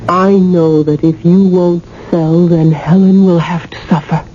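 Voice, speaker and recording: An actress playing a cool, seductive mistress with sinister connections in a 1980s radio drama, measured and knowing. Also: female voice, on the low side, fairly even intonation, mellow, lightly acted. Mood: calculating. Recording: muffled, noisy old radio broadcast.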